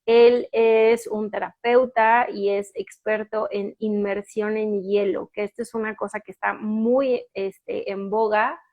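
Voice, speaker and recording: A woman's voice: medium pitch, steady tone, slow, hardly any pauses, highs cut off. A woman with a Mexican accent hosting a casual live stream, chatty and full of fillers and restarts as she waits for her guest. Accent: Mexican Spanish.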